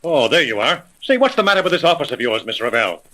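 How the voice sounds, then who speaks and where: Forceful, imperious rich gentleman. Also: a little high, sing-song, fast. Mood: impatient. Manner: formal, indignant, emphatic. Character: imperious, impatient rich businessman and father. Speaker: actor. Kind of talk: 1930s radio acting. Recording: vintage 1930s radio recording.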